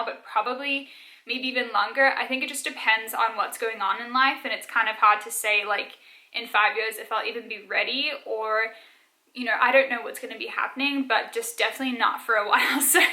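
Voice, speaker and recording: A young woman in her twenties talks through viewer questions in a relaxed, chatty way, her accent a blend of South African, Australian and American. Her voice is bright and sits a little high. The sound rings around an echoey room. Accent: mixed South African, Australian and American. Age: 20s. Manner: chatty, many "like" fillers, frequent light laughs. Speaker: vlogger. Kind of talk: casual Q&A vlog.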